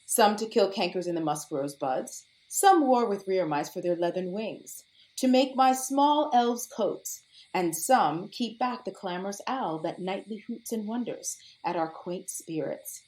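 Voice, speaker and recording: Woman doing a regal fairy queen, bossy, very lively pitch, shakespeare audio play. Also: measured pace, natural voice, low-quality recording. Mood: regal, bidding. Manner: theatrical, imperious. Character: regal fairy queen ordering her attendants. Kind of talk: classical Shakespearean verse acting.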